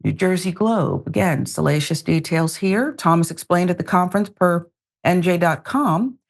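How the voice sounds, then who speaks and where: A woman hosting a news show, reading reports and commenting in an emphatic, deliberate way with pointed asides. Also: on the low side, creaky.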